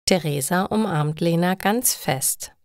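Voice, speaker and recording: A woman's voice: on the low side, measured pace, darkish tone, dry recording, clean studio sound. A woman reading scripted, simple stories for language learners in careful short sentences.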